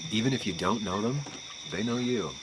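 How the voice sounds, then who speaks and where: An actor playing a weary, earnest investigator in a video game drama, measured and brooding. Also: male voice, on the low side, heavy background noise, lightly acted. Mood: brooding, pensive. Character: weary adult male former investigative journalist, brooding and earnest. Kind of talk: dramatic game voice acting with inner monologue. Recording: music behind the voice. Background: clear background music.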